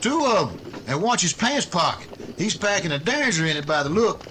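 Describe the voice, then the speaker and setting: A man acts an earnest western deputy with a high voice. He reports respectfully and watchfully, radio-drama style, over faint background noise. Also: sing-song, brisk, bright, forceful tone, exaggerated voice. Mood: anxious reporting.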